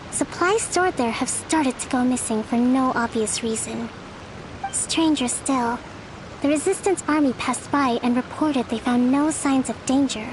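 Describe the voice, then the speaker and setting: Woman playing high-voiced, composed leader. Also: noisy background, lightly acted. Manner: measured, formal. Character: composed, courteous female leader giving orders. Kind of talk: video game character acting.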